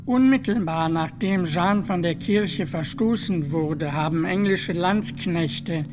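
An actor playing an earnest friar who testifies deliberately and formally in a radio play, with music underneath. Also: medium pitch, close to an everyday voice.

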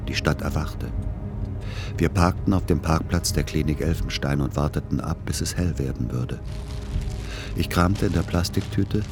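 A middle-aged man playing a patient, weary son, narrating and talking in a measured, understated way in a radio play. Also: a bass voice. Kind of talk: first-person radio play narration and dialogue. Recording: clear vehicle noise. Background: vehicle ambience.

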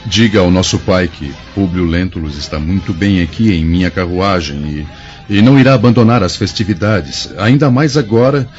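An actor playing a proud young Roman prince, speaking in a grand, declamatory way in a radio drama. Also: male voice, sits low, animated, moderate pace, darkish tone. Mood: proud, scornful. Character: young Roman prince, proud, cordial to friends, scornful of enemies. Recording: dull lo-fi radio drama with music.

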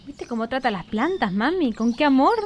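A woman's voice: high voice, lively intonation, moderate pace. A woman playing a kind, stubborn Argentine teenage daughter in a radio drama, with a pleading, protesting manner. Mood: earnest, protesting.

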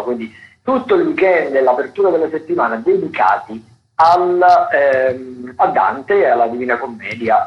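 A man presents theatre listings on a radio show. He speaks at a middling pitch, hesitates often and restarts his phrases. The broadcast sound is muffled and lo-fi. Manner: hesitant, many fillers and restarts.

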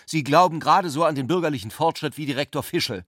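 Man playing a haughty, combative young student, raised pitch. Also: quick pace, a bit bright, no room sound, clean studio sound. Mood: heated, combative. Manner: declamatory, scornful, insistent. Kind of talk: radio drama acting.

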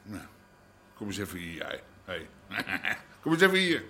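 An actor playing a harried, stubborn middle-aged crime boss and husband in a radio drama, blustery and colloquial, with an Amsterdam accent. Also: male voice, sits low, wildly swooping pitch, a little slow, bright, forceful tone, slight background noise, heavily acted. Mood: coaxing. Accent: Amsterdam Dutch. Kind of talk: naturalistic radio drama acting.

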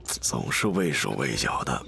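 Old gambling boss, sly n mocking, slowish, pitch swings all over, radio drama. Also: male voice, big pitch swings, vocal fry, bright, forceful tone, heavily acted. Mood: mock humility. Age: older. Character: sly, falsely modest ageing male gambling king. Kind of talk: Mandarin audio drama acting.

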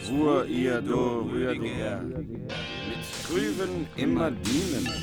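A man solemnly reciting medieval epic verse in archaic German, measured and formal. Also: mid-range voice. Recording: music behind the voice. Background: music.

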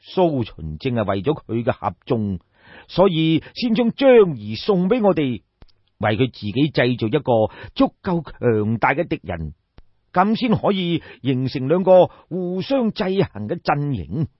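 A man's voice: a little high, big pitch swings, a little slow, no echo, muffled recording. A man voicing a shrewd, commanding ancient king in an audiobook, lecturing in a pompous, rhetorical way. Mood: knowing, lecturing. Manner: pompous, rhetorical, sighing and explaining at length. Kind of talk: dramatised audiobook storytelling.

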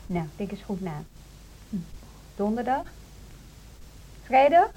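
An actress playing a patient music teacher in a radio drama, coaxing and questioning a young pupil. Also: female voice, medium pitch, very animated, slow pace, mellow, a little hiss or hum, poor audio. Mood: patient, probing. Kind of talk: naturalistic radio drama dialogue.

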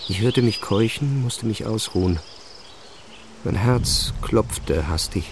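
A man reading a first-person literary narration in short, measured phrases with pauses. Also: on the low side, lively intonation, background noise. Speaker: audiobook narrator.

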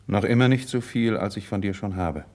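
An actor playing a self-assured, loyal nobleman with measured, courteous stage diction in a classical 1950s radio drama. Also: on the low side, a little mellow, close to an everyday voice. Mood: calm, insistent.

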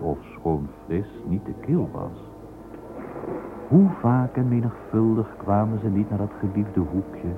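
An actor playing a florid, sentimental storyteller, narrating a radio play in a theatrical, flowery way. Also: male voice, on the low side. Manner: theatrical, flowery, deliberate phrasing. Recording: noisy, tinny old radio recording.

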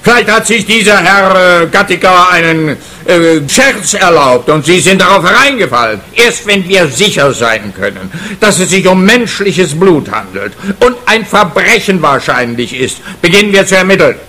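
A bureaucratic cop, with a high, sharp, bright voice. He talks pedantically and brushes people off with condescension, in radio comedy style. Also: sing-song, normal speed, hardly any pauses, exaggerated voice. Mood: dismissive. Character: officious, dismissive male police officer, bureaucratic. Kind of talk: radio crime comedy dialogue.

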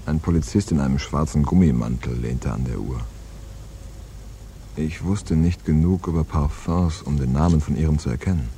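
An actor playing a laconic, world-weary hard-boiled man in a radio crime play, understated and deadpan. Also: extremely low pitch, normal speed, slight background noise. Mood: deadpan, reflective. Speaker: narrator and lead character in a radio crime play. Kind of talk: scripted hard-boiled first-person narration and dialogue.

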